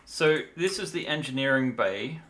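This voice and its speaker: A man's voice: mid-range voice, lively intonation, moderate pace, a bit bright. A tired man talking casually and in a rambling way as he hosts a livestream demo. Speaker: livestream host, miniature designer.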